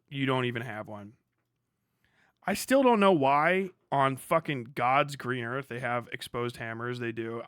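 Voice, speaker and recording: A man's voice: mid-range voice, bright, forceful tone, smooth, dry recording, studio quality. A man hosting a livestream with casual, profane, blunt and sarcastic commentary. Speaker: livestream host.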